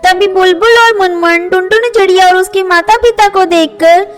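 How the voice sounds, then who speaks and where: Very high-pitched woman storyteller. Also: normal speed. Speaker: narrator. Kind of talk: scripted children's story narration. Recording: faint music under voice. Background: faint music.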